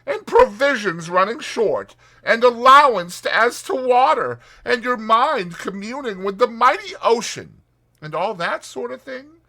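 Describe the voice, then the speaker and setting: A man's voice: very high-pitched, lively intonation, measured pace, pushed and bright, voice pushed up, exaggerated voice. A man voicing an earnest, curious, wistful water rat with a hesitant, wondering manner, in a dramatized audiobook. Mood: probing. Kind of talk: dramatized audiobook character reading.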